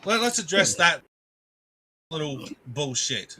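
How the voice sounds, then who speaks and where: Guy ranting on his live stream, real bright voice, kinda high, rambly and sweary, keeps greeting chat. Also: very animated, slow pace, husky.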